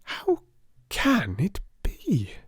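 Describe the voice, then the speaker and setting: A man voicing a warm-hearted young English schoolgirl in an audiobook, tender and full of feeling. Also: high voice, huge pitch swings, drawn-out words, no room sound, clean studio sound, voice pushed up, full character voice. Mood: puzzled. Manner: breathy, emotional exclamations.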